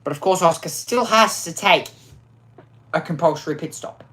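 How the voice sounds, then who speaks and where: A woman with a low voice gives live commentary on a racing game. She gets excitable and often restarts and repeats phrases. Her voice sounds worn out. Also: normal speed.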